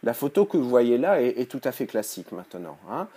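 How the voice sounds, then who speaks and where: A man with flu and a hoarse voice giving a recorded conference talk as a professor, hesitant, with many fillers and restarts in a critical lecturing manner. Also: mid-range voice, sing-song, brisk, mellow. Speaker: university professor.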